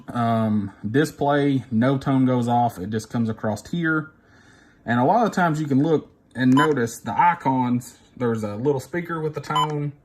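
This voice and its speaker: A man's voice: medium pitch, darkish tone. A man gives an informal, unscripted tutorial, thinking aloud as he works through each step on a device. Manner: unscripted, thinking aloud while pressing buttons. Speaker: instructor demonstrating a device. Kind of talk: informal hands-on tutorial, talking through steps. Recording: noisy recording with device clicks and beeps.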